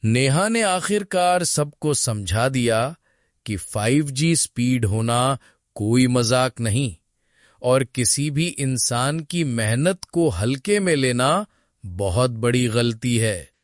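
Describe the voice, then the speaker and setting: A man narrating a cartoon story in an expressive, dramatic way. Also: medium pitch, takes it slowly, no room sound, studio quality.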